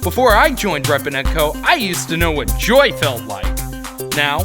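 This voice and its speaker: A man's voice: on the high side, huge pitch swings, a little slow, over music. A man playing a disillusioned, bitter factory employee giving a testimonial in a parody commercial. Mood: bitter resignation.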